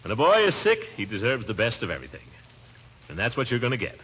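An actor playing a fussy, affectionate middle-aged uncle in a theatrical, blustery 1940s radio comedy style. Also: male voice, mid-range voice, huge pitch swings, fast talker. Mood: doting, reassuring. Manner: blustery, theatrical, with swooping exclamations and chuckles. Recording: muffled, noisy vintage radio recording.

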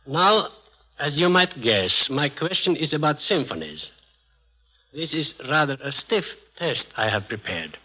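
A man's voice: fairly high pitch, big pitch swings, unhurried, pushed and bright. Formal man on an old lo-fi radio recording. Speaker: guest musician quizzing children. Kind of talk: formal radio quiz questioning. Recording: dull lo-fi vintage radio recording.

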